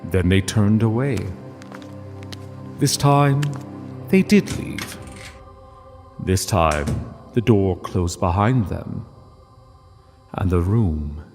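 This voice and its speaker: A man narrating a scripted literary story in a solemn, measured way with dramatic pauses. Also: deep voice, lively intonation, darkish tone, long reverb tail, faint music behind.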